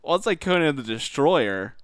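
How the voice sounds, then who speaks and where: A young man chats casually as a podcast co-host, excitable and slangy. His voice has a very animated pitch that jumps around, and the recording is dry and close. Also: normal speed, clear, resonant tone, no echo. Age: young adult. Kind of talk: casual gaming chat.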